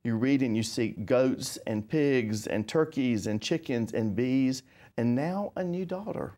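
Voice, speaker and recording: A man's voice: medium pitch, expressive, measured pace, mellow. An American man speaking as an interview host in a formal, welcoming talk-show style.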